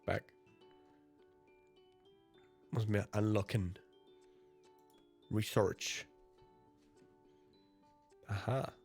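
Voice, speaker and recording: Brief: male let's play host, native German, fairly deep voice, narrating a visual novel over music. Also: steady tone, extremely slow, gravelly, pauses often, darkish tone, slightly rough. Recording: music behind the voice.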